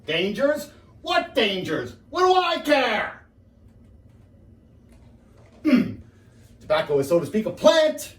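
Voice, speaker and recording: A man on stage performs a nervous, downtrodden husband delivering a comic lecture in a fairly high, very animated voice. He digresses, exclaims and sinks into self-pity. The sound is roomy and echoing. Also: brisk. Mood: dismissive, agitated. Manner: digressive, self-pitying, exclaiming then trailing off. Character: nervous, henpecked, rambling husband giving a public lecture. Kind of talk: theatrical comic stage monologue. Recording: roomy, echoing, distant mic with background noise.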